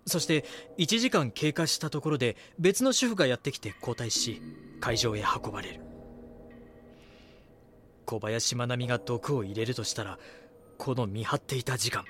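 A man plays an earnest investigative reporter in a radio drama. His mid-pitched voice moves widely between brooding and pressing, with some ambient noise behind it. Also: expressive, normal speed, a bit bright, close to an everyday voice. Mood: brooding, puzzled. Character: earnest male magazine reporter investigating a murder case. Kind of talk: Japanese audio drama acting, brooding monologue and dialogue.

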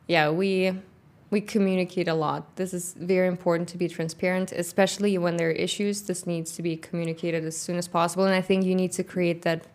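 A middle-aged woman with a non-native English accent, a company founder in a casual interview, talking thoughtfully with many pauses. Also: lowish voice, level tone, darkish tone. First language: not English; grew up in Kazakhstan, speaks Russian. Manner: thoughtful, frequent "um" pauses, light laughter. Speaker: guest, founder of a workwear boot company.

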